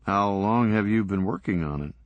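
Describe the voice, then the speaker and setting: A man voicing a laid-back adult male friend who speaks flatly and unhurried. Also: on the low side, no room sound, dull audio, lightly acted.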